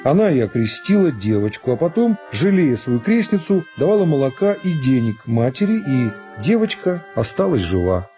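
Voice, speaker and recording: A man narrating a literary story for a radio drama in a measured, phrase-by-phrase storytelling manner. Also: mid-range voice, big pitch swings, warm tone. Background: music.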